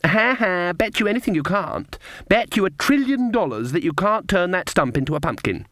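A man's voice: high voice, big pitch swings, normal speed, a bit bright, dry recording, studio quality, voice pushed up. A man voicing an innocent but cheeky little girl in an audiobook, with a childlike, challenging and insistent manner. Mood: doubtful, taunting. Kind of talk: audiobook character voice.

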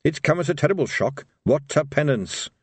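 Storybook role: male reader as dutiful officer, sincere, concerned, lifted pitch, animated intonation. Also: normal speed, breathy edge, dry recording. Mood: worried. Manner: sincere, well-meaning. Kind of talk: audiobook character voice.

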